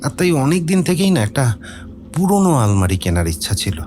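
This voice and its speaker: An actor playing a nervous, talkative gentleman in an audio drama, hesitant and rambling. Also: mid-range voice, very animated, normal speed, lightly acted. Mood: chatty, explaining. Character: nervous, talkative middle-class Bengali gentleman with a mystery.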